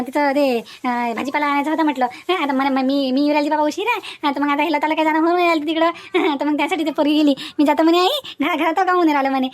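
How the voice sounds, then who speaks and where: Cartoon granny , acted by a woman, high-voiced, quick, hesitant and rambling, Vidarbha accent. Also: natural voice. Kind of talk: cartoon family melodrama.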